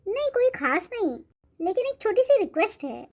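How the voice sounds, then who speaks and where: A spoiled young cartoon heiress performed by a woman with a very high, girlish voice. She speaks with haughty, coquettish confidence. She boasts and makes demands as if she expects to get her way. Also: sing-song, normal speed, full character voice. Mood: demanding, haughty. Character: spoiled, boastful rich young bride-to-be Radhika. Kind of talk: Hindi cartoon moral-story voice acting.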